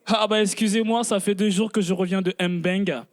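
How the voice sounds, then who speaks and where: A young man with a Congolese French accent doing a stand-up routine, telling stories with animated energy and laughing often. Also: high voice, normal speed. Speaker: stand-up comedian.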